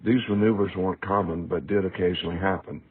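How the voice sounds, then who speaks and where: A man's voice: sits low, steady tone, fast talker, breathy edge. A man reading aloud from his own book in a scripted audiobook narration style, recorded with a tinny, narrow sound. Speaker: author reading a book excerpt. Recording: tinny, phone-like narrow band.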